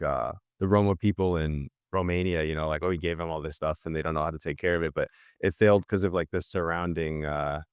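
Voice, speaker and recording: Male co-host, low clean voice, casual halting explainer in a dry studio-like sound. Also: smooth, dry recording.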